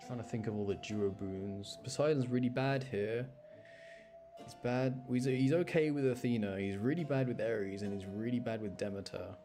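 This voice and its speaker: A man with a fairly low voice gives casual gameplay commentary on a live stream. He moves between animated reactions and easygoing, rambling explanation, with music clearly playing behind him. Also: moderate pace.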